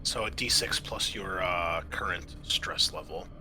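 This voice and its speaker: A man's voice: low-pitched, unhurried, background noise. A man acting as game master in a tabletop roleplaying game, explaining rules and narrating what the players' characters do.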